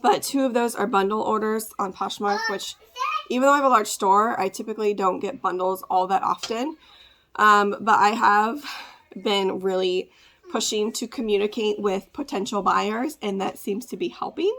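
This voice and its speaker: A woman with a congested, raspy voice, chatting casually as she packs orders to ship at home, rambling with lots of fillers. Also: mid-range voice, moderate pace, fairly bright tone. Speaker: part-time online reseller. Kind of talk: casual ship-with-me vlog chat.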